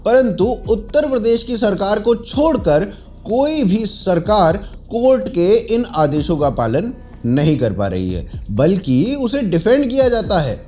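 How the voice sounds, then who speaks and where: A man's voice: high-pitched, sing-song, unhurried, faint music behind. A man reading scripted news narration.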